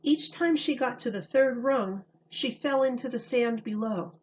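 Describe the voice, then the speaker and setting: Slow, higher-voiced woman reading a storybook. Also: darkish tone, highs cut off, slight background noise. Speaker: teacher reading aloud. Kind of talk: children's storybook narration.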